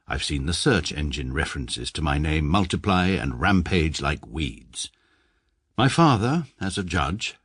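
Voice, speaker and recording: Deep, husky and very low, a deadpan English barrister who talks down to everyone in a radio play. His pitch swings widely for all the dryness, and the studio sound is close and dead. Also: no room sound, exaggerated voice. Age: 30s. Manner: dry, self-satisfied, deadpan. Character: pompous precise male barrister, thirty-three, dry. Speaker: narrator and lead. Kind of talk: radio drama first-person narration.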